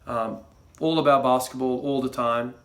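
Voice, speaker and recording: A man's voice: mid-range voice, fairly even intonation, normal speed, fairly bright tone. A young man, talking to camera about his views. He rambles loosely, with plenty of fillers, false starts and trailing asides. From Croatia. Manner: rambling, many fillers and restarts. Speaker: fitness vlogger. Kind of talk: casual monologue to camera.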